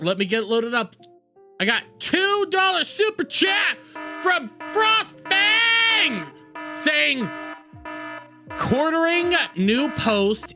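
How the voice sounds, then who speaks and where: A male streamer rants to his live chat in a loose, foul-mouthed, excitable way. His pitch leaps all over the place and his tone is sharp and bright. Soft music plays faintly underneath. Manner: rambling, profane, excitable. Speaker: livestream host. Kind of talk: casual livestream chat.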